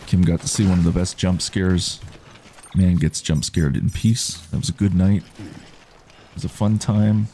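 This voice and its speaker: Relaxed male streamer, low dark baritone, easygoing commentary over light background noise. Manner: calm, unhurried, reads chat aloud. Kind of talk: relaxed live gameplay commentary.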